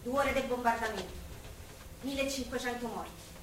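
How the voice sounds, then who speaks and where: A woman's voice: a little high, normal speed, lightly acted. An actress playing a passionate working-class Roman woman of the wartime forties in an Italian stage drama. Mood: sorrowful, narrating. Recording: echoey theatre, distant mic, faint noise.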